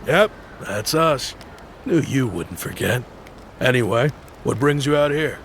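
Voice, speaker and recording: An actor playing a friendly, teasing homeless man in a video game dub, with a gravelly American voice. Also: mid-range voice, animated, measured pace, fairly bright tone, slight background noise. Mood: jovial, teasing. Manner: teasing, folksy.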